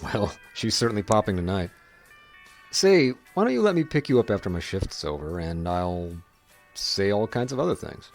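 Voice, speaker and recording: A man's voice: lowish voice, big pitch swings, moderate pace, faint music behind. A man playing an easygoing, dry-witted, laconic small-town sheriff in a radio drama. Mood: wry, flirtatious. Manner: laconic, wry.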